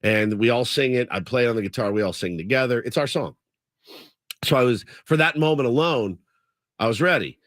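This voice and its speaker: A man's voice: medium pitch, a little fast, no echo, studio quality. A man hosting a sports radio talk show, casually telling a long story and acting out what people said. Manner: animated storyteller, acts out quotes.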